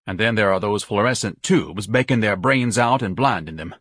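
A man's voice: on the low side, normal speed, a bit bright, husky, no echo, studio quality. A man voicing a haughty, self-pitying grown son who declaims in grandiose, over-articulate phrases, as an audiobook character. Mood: disdainful.

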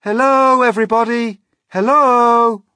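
A man's voice: medium pitch, huge pitch swings, measured pace, husky, no echo, pitched above the performer's own voice, exaggerated voice. A man voicing a cheerful, friendly small boy in a children's story, calling out eagerly. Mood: cheerful, reassuring. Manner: calling out brightly.

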